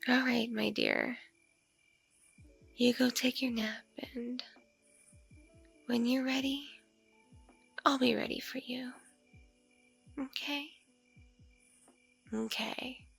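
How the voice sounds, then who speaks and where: Give the part to a mature female vampire, acted by a woman, seductive and politely predatory. Her voice sits fairly high yet crackles heavily with fry. She murmurs slowly and intimately, doting on her prey in an ASMR roleplay and slipping into whispers. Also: steady tone, fairly bright tone, faint music behind, full character voice. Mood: doting, reassuring. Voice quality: husky, creaky.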